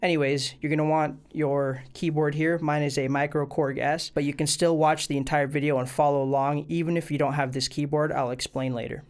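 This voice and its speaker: Higher-voiced man giving a casual tutorial. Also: little pitch movement, fairly quick, few pauses. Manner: informal, plain step-by-step explaining. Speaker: host of a music gear tutorial channel. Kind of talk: casual instructional video tutorial.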